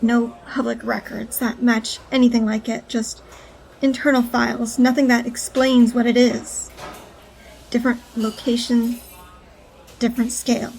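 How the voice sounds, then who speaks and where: A woman's voice: a little high, little pitch movement, a little slow, gravelly, darkish tone, slight background noise, low-quality recording. An actress playing a wary, resolute female informant who speaks in an understated, matter-of-fact way in an audio drama.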